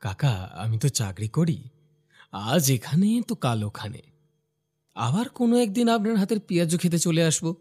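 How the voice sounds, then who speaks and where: A man's voice: a little high, lively intonation, normal speed, pauses often, darkish tone, slightly rough. A man playing a young office worker who narrates his own scripted horror cartoon story. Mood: calm, polite. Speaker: first-person narrator.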